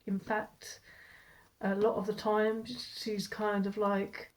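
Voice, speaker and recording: A woman chatting about a book at home, her nose blocked up from a cold. She rambles loosely, trips over and repeats her words, and laughs often. Her pitch sits at an ordinary middle height. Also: level tone, slow, rough recording. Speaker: book reviewer. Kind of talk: casual chat to camera.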